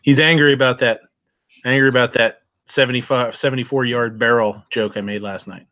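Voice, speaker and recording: Brief: blunt, wisecracking male co-host, mid-pitched, muffled lo-fi stream audio. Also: steady tone, a little fast, bright, forceful tone. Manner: blunt, joking, salty talk, clears throat often. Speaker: co-host of a live food review stream. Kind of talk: casual live-stream chat. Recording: dull lo-fi mic.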